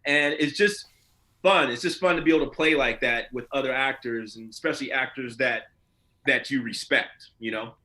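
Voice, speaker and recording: Bright-voiced man chatting casually in an interview. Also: mid-range voice, moderate pace. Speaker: voice and motion capture actor being interviewed.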